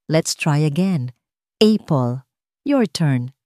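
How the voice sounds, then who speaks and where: A woman narrator plays a clinical, cold psychiatrist in his late forties for an audio story. He speaks in measured, emotionless phrases and calmly repeats his instructions. The pitch sits on the low side for a woman, with a dry, close sound. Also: no echo, heavily acted. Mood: calm, insistent. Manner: calm, emotionless, measured, repetitive.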